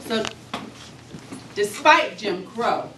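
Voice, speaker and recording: A woman's voice: mid-range voice, lively intonation, very slow. An American woman author talking and reading aloud to young children, explaining things simply and often asking them questions. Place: school room with young children. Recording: echoey room, distant mic, background noise.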